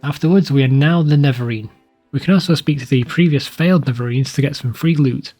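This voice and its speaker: A man's voice: mid-range voice, a little fast, darkish tone, soft background music. A man reading a scripted video game walkthrough in a plain, informal, instructional way. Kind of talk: scripted guide narration.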